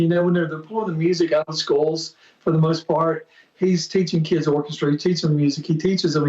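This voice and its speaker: A man's voice: fairly high pitch, dark tone. A man talking as a guest on a podcast, rambling and enthusiastic and often restarting his sentences. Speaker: podcast guest, music promoter. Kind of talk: casual interview chat. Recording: distant, roomy, muffled remote audio.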